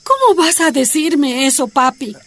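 Very high, plaintive woman, radio drama. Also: very animated, measured pace, a bit bright, heavily acted. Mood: despairing. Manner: plaintive, questioning. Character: suffering, exiled miners' activist, daughter and wife.

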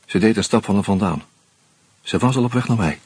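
A low, husky weary narrator. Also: male voice, a little fast, a little mellow, no echo. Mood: tense. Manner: tense, terse storytelling. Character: weary male first-person narrator on pills. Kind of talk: radio play narration.

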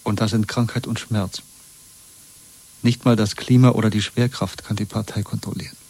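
An actor playing a broken but stubborn prisoner under interrogation in a German radio play, speaking wearily and plainly in a husky voice. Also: male voice, fairly low pitch, fairly even intonation, normal speed, darkish tone. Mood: quietly defiant.

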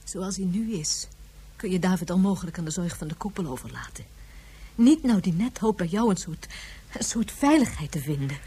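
An actress in a Dutch radio drama playing an anguished, tender mother of a missing baby, speaking in an emotional, pleading, soft-toned way. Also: on the low side, animated, moderate pace, breathy edge. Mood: gently insistent.

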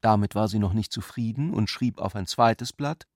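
A man giving measured, scripted literary narration for a radio play. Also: on the low side, darkish tone, dry recording, studio quality.

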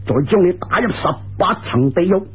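A man's voice: sits high, lively intonation, normal speed, slightly rough, highs cut off, full character voice. A man voicing a sneering, bullying gang ruffian with a Cantonese accent, taunting and menacing in a storytelling session.

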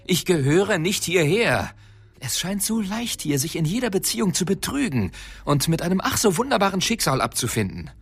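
Bitter, impassioned male time traveler for radio drama, gravelly, animated, coarse-mouthed. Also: high voice, moderate pace, exaggerated voice. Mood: indignant, impassioned. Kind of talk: science fiction radio drama acting.

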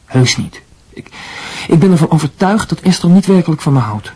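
Animated, husky young man. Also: fairly high pitch, brisk. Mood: defensive, wry. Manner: wry, defensive. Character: defensive, flippant young man answering his mother. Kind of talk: radio drama acting.

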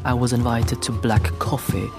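A man's voice: medium pitch, fairly even intonation, moderate pace, creaky, close to an everyday voice. Reserved young English writer, played by a man, music behind. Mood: wry, observant. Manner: switches between German dialogue and English narration. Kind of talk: radio drama acting and literary narration.

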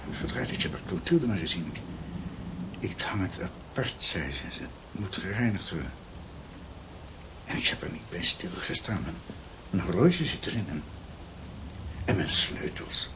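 An actor playing an agitated older uncle, a doctor muttering haltingly to himself in a radio drama. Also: male voice, sits low, noisy background, full character voice.

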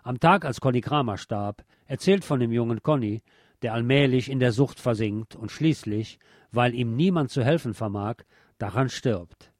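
A man's voice: medium pitch, moderate pace, a little mellow, dry recording, clean studio sound. A man presenting a scripted music-history narration in literate, formal wording with a dryly ironic manner. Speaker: host.

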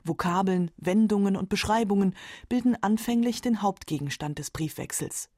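A woman narrates a scripted radio documentary. Her voice is mellow and relaxed, recorded very dry. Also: mid-range voice, normal speed, no room sound.

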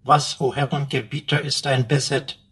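A mid-pitched, slightly rough-voiced supercomputer servant from a radio play. It flatters its master with flowery, pompous deference. Beneath the precise phrasing sits dry sarcasm. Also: male voice, moderate pace. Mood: sardonic. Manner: mock-obsequious, precise, pompous. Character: sardonic, servile male supercomputer butler with flowery flattery. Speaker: supporting actor. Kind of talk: German radio drama acting.

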